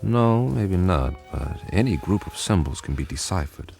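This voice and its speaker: An actor playing a determined, authoritative senior codebreaker in a radio drama, speaking intently. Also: male voice, low-pitched, animated, moderate pace, vocal fry, darkish tone, faint music behind. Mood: musing.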